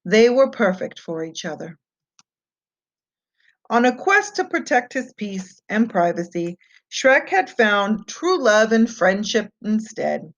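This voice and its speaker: A woman reading a children's fairy tale aloud as an expressive storyteller. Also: mid-range voice, slow. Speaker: storybook reader. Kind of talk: scripted children's read-aloud narration. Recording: muffled, dull lo-fi home recording.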